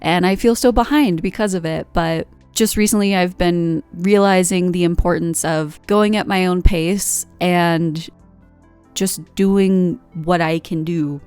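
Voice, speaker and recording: A woman's voice: lowish voice, fairly even intonation, measured pace, a little mellow, clean tone, dry recording, faint music behind. A woman in her twenties from the United States, talking candidly and rambling through a reflective vlog monologue with lots of fillers. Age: 20s. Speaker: art vlogger.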